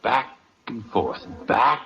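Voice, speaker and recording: An actor playing a stubborn, indignant family friend who spins ghost tales in an old-time radio comedy. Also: male voice, mid-range voice, sing-song, slow pace, a bit bright. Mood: insistent. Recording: dull old radio recording.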